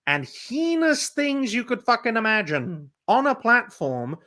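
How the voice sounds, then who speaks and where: Bright-voiced young man hosting. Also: very high-pitched, big pitch swings. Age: 20s. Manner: crude, quick interjections. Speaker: livestream host. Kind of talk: casual podcast chat.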